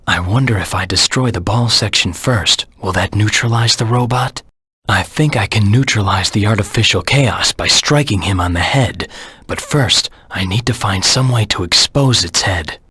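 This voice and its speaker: An actor playing a cold, determined anti-hero hedgehog in a video game, speaking in a terse, serious, deliberate way with a husky, gravelly voice. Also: male voice, lowish voice, bright, forceful tone, no echo, clean studio sound, exaggerated voice. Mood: calculating. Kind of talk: video game character voice lines.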